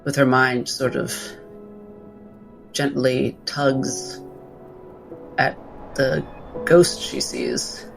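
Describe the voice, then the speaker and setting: A man narrates his character's actions in a tabletop game. His delivery is slow and thoughtful, with halting pauses as he improvises, over soft background music. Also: mid-range voice, very animated, vocal fry, rough recording. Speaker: tabletop role-playing player.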